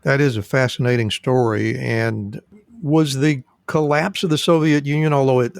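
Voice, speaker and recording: Host: man, gravelly mid voice, dry close mic, halting. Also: medium pitch, steady tone, no room sound. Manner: halting, many fillers and restarts. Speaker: podcast host. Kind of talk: podcast interview.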